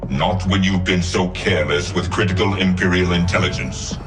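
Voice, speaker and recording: A man playing an imposing, merciless Imperial dark lord in a cinematic game, formal and commanding. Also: deep voice, steady tone, moderate pace, close to an everyday voice. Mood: cold menace. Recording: music under the voice.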